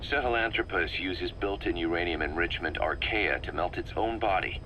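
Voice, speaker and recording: An actor playing a serious military second-in-command in a video game, giving matter-of-fact explanatory briefings. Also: male voice, low-pitched, normal speed, sharp tone, slight background noise, poor audio. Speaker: military deputy briefing his boss.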